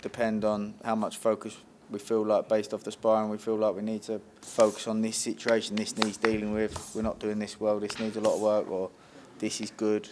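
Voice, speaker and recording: Low-voiced coach, noisy setting. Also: male voice. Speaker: boxing coach. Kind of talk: interview explanation of training and tactics. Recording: loud background noise, on location.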